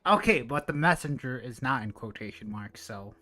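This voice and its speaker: A woman's voice: rumbling low, expressive, normal speed, fairly bright tone, faint music behind. A woman streaming a game live, giving casual, slangy commentary with exclamatory reactions.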